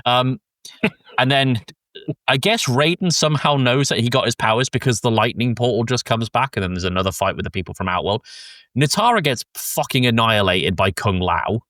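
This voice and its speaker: A man hosting a podcast, casually recapping a film plot in a rambling, halting way with frequent swearing. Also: medium pitch, lively intonation, a bit bright, dry recording. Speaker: podcast host.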